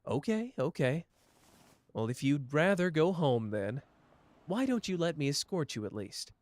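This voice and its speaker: A man doing a slow, flirtatious charmer. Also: on the high side, very animated, darkish tone.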